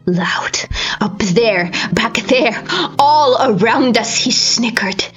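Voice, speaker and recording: A woman giving a dramatic scripted narration, grave and theatrical, with deliberate phrasing. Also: on the high side, expressive. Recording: slightly dull recording with music behind. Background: music.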